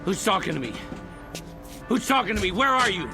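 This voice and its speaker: An actor playing a ruthless, bitter Old West outlaw with a folksy, contemptuous drawl in an old television drama. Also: male voice, high voice, sing-song, brisk, over music. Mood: frantic, angry. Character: ruthless, bitter 1880s western outlaw, hardened and menacing.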